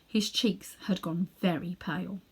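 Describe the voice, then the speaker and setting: A woman's voice: on the low side, steady tone, measured pace, husky. A woman narrating a children's novel as a storybook reader.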